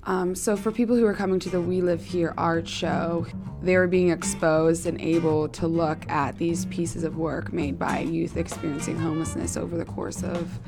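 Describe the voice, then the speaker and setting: A young woman with a low, gravelly voice explains her organization's work. Her delivery stays flat and even, broken up by frequent ums. Faint music sits underneath. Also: normal speed. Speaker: intern and show coordinator. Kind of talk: interview answers.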